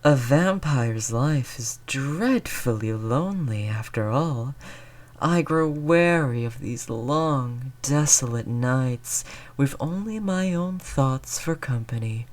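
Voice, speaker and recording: A predatory aristocratic vampire acted out by a performer in a roleplay audio drama. The delivery is very unhurried and theatrical, silky and taunting, drawing out each menace with seductive relish. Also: mid-range voice, expressive. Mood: coolly persuasive. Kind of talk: scripted roleplay audio monologue.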